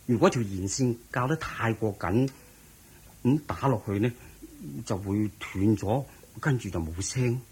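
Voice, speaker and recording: An actor playing an earnest, anxious young monk with a husky voice and fretful manner, in Hong Kong Cantonese radio drama style. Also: male voice, fairly low pitch, a little slow, background noise, close to an everyday voice. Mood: earnest, respectful.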